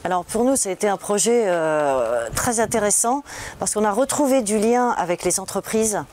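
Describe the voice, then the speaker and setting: A woman's voice: mid-range voice, lively intonation, normal speed, darkish tone, slight background noise. A woman speaking as a local official in a testimonial interview, explaining things in an informal way.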